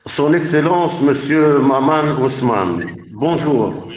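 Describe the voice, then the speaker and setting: A man's voice: mid-range voice, expressive, slow pace. A man interviewing as a journalist, putting prepared questions in a formal, deferential way. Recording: muffled, echoey remote call.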